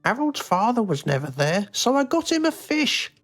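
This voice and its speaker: A woman's voice: fairly high pitch, very animated, moderate pace, soft background music. An actress playing a stern, controlling mother, prim and blithely callous.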